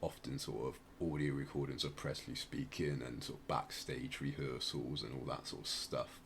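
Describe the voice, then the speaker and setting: A man's voice: rumbling low, fairly even intonation, moderate pace, gravelly, a bit bright, a little hiss or hum. A man hosting a podcast, casually explaining music in a hesitant, rambling way. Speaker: podcast host.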